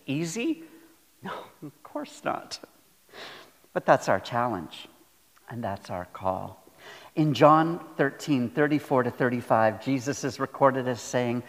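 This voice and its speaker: A man preaching a sermon as a pastor, earnest and deliberate, repeating phrases for emphasis. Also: mid-range voice. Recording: long hall reverb.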